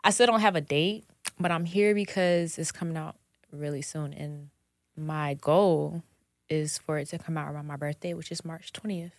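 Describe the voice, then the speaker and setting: A woman's voice: deep voice, fairly even intonation, moderate pace, a little mellow, no room sound, studio quality. A woman in her twenties, a singer guest on a podcast, talking candidly and chatting casually with frequent laughs and swearing. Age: 20s. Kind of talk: casual interview chat.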